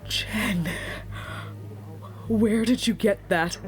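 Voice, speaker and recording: An actress playing a composed, diplomatic young leader in a fantasy audio drama, formal and measured. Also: female voice, medium pitch.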